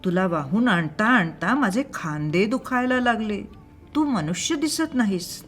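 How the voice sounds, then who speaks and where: A woman voicing a mighty male demon who has turned kindly and devout, speaking gently and earnestly in an audio story. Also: mid-range voice, animated, measured pace, faint music behind, close to an everyday voice. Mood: weary, astonished.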